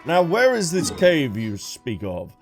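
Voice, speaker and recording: A man's voice: fairly high pitch, huge pitch swings, measured pace. A man with a British accent giving chatty, playful gameplay commentary, reacting aloud as a streamer. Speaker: gaming streamer. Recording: game music behind the voice.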